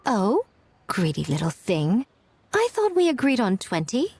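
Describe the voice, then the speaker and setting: A woman's voice: fairly high pitch, huge pitch swings, measured pace, darkish tone, slightly rough, heavily acted. A woman voicing a sly, centuries-old trickster spirit in a video game, playful and smug in her taunts. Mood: teasing. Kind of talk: video game character acting.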